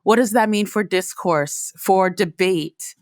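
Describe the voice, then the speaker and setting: A woman's voice: medium pitch, slow pace, a little mellow, no echo, clean studio sound. A woman hosting and narrating from a script, introducing recorded conversations. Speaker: host and narrator.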